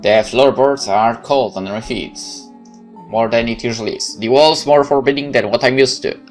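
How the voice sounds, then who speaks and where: A let's player who reads game text aloud and thinks out loud in hesitant, casual asides. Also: medium pitch, normal speed. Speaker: gaming video host. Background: game music.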